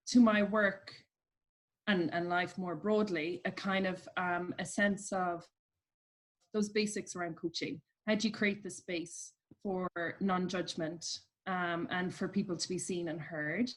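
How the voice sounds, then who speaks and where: A woman with an Irish accent giving reflective, explanatory answers as a guest in an interview, pausing as she phrases her thoughts. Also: medium pitch. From Ireland. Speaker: interview guest, coach at a business charity.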